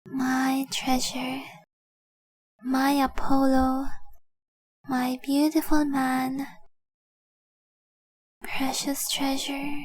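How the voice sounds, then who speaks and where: A woman voicing a tender, possessive young dragon girl in love, speaking softly and breathily in an intimate roleplay audio drama. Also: high voice. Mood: devoted, heartfelt. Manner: soft, breathy, lingering, adoring delivery.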